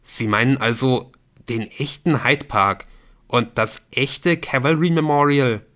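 Male narrator as a young gamer guy, earnest and unsure, asking questions, bright sharp voice, audiobook. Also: medium pitch, measured pace, voice pushed up, close to an everyday voice. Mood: puzzled. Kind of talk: audiobook character dialogue.

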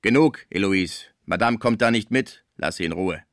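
Man playing a brisk, bossy theatre director. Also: on the low side, a bit bright, dry recording, clean studio sound. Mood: impatient. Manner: blunt, commanding. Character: brisk, bossy male theatre director, jovial but hard-nosed.

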